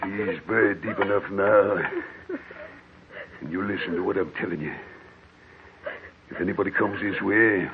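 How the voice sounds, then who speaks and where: An actor playing a vengeful, murderous old gold miner with an American accent, menacing and folksy in an old radio horror drama. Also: male voice, low voice, fairly even intonation, a crowd in the background. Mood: threatening. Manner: menacing, rustic folksy speech, drawn-out dramatic storytelling. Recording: muffled vintage radio recording with music.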